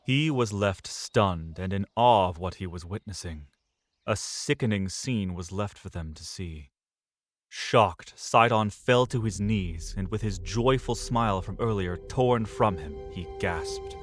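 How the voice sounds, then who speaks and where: A man narrating a fantasy audio drama in a measured, dramatic storytelling manner. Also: lowish voice, no room sound.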